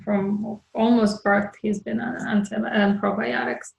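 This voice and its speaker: A woman's voice: a little high, level tone, slow, gravelly, echoey room, low-quality recording. A woman from Ukraine hosting an interview, agreeing and asking follow-up questions. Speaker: host.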